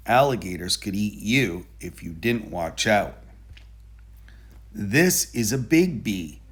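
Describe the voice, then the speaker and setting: A man reading a children's picture book aloud in a deliberate, expressive storytelling manner. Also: on the low side, breathy edge. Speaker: storybook reader.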